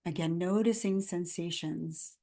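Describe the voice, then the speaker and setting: A woman guiding a body scan meditation in a gentle, calm, instructional manner, in measured phrases with pauses between them. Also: fairly low pitch. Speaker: meditation guide.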